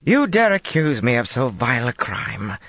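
A man's voice: lowish voice, animated, unhurried, a bit bright, no echo, lo-fi sound, pitched above the performer's own voice. A man voicing a proud young queen who is a woman, with a haughty and insistent manner. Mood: indignant.

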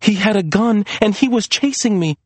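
A man's voice: sits high, moderate pace, no echo, voice pushed up. A man voicing a frantic, commanding young woman on the run, speaking urgently in an audiobook story. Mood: shaken, insistent. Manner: urgent, breathless shouting and insisting.

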